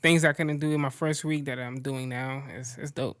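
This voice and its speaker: A young man from Central Florida talking as a guest in a casual chat, relaxed and slangy, laughing easily. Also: medium pitch, moderate pace, clean tone, no room sound. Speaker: guest content creator.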